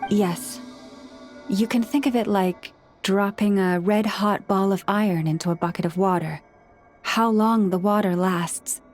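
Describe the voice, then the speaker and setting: A woman voicing a gentle, earnest young moon priestess in a video game, speaking softly and with measure. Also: medium pitch, steady tone, soft background music, lightly acted. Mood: grave. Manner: soft-spoken, earnest, measured.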